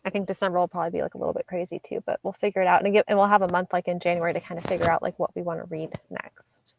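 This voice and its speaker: A woman co-hosting a book club discussion over a video call, agreeable and casual, planning the next reads out loud. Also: fairly low pitch, flat delivery, rapid-fire, vocal fry, rough recording. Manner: agreeable, short replies, plans aloud.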